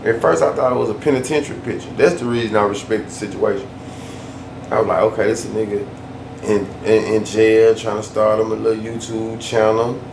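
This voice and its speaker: Male vlogger, gravelly voice, loose slangy rant, echoey room with faint hum. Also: fairly low pitch, flat delivery, a little fast. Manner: loose, slangy, repeats himself for emphasis. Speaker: YouTube storyteller. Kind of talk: casual ranting vlog.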